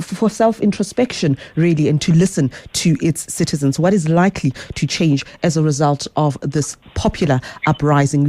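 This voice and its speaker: Lady radio host, mellow and dry, close studio mic, taking calls. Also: deep voice, dry recording. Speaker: radio talk show host. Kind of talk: live phone-in hosting. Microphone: close studio microphone.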